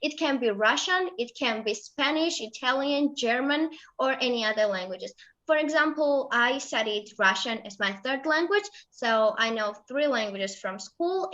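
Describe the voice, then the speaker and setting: Georgian teen girl giving a slide talk in accented English, higher voice, halting with fillers, lo-fi dull audio. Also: pushed and bright. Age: late teens. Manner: halting, with many fillers and self-corrections. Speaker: student presenter. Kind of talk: presenting slides about her country.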